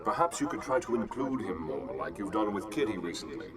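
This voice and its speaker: A man's voice: on the low side, very animated, a little fast, a loud crowd. A man voicing a grave older professor and mentor, formal and admonishing, in a motion comic. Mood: grave, advising.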